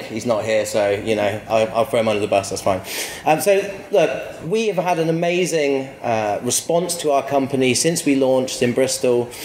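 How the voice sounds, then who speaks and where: Middle-aged male founder, informal stage talk, medium pitch, roomy sound with faint noise. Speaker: company founder and CEO presenting. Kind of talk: informal public presentation. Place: stage at an indoor event venue. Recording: echoey live venue, faint noise.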